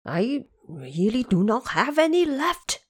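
Woman as a slow, anxious old Dragon King. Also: on the high side, sing-song, slightly rough, voice pushed up, exaggerated voice. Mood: nervous, apologetic. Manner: deferential, nervous, pleading.

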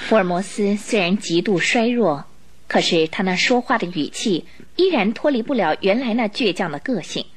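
Animated woman narrating a radio drama. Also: medium pitch, moderate pace, husky. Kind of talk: dramatic scripted storytelling for radio.

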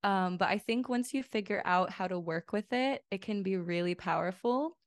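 A woman guest who grew up between Lithuania and the United States, talking in a relaxed, reflective way with light laughs. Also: mid-range voice, normal speed, no echo, clean studio sound. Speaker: podcast guest, energy healer. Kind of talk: casual interview chat.

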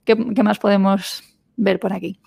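A woman's voice: medium pitch, level tone, normal speed, gravelly, warm tone, husky. A woman hosting a casual conversational interview, chatty and full of little "¿no?" and "¿sabes?" tags. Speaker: podcast host.

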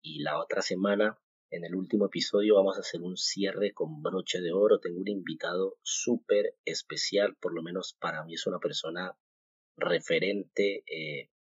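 A man from Colombia in his thirties hosting a podcast, sharing a devotional thought in a halting way, with many fillers and restarts. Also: low-pitched, fairly even intonation, slightly rough, no echo. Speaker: podcast host. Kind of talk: casual devotional talk. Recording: muffled, dull home recording.

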